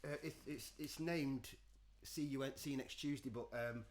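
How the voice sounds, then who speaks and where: A man's voice: mid-range voice, normal speed, a little hiss or hum. A man co-hosting a casual live stream, joking and laughing with stammering restarts. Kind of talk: casual banter.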